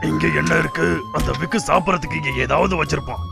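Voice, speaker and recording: A gravelly big bear brother. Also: male voice, a little high, fast talker, over music. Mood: bossy. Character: big older bear brother, calm leader. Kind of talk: Tamil cartoon dub.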